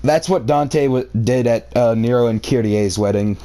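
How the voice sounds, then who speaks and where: A man with a smooth, clear voice at a middling pitch, close and dry on the mic. He reads a story aloud in a theatrical way, given to exclamatory, sarcastic commentary on what he reads. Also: moderate pace, warm tone, no echo. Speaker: reader reacting to a story. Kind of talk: live read-aloud with outraged commentary.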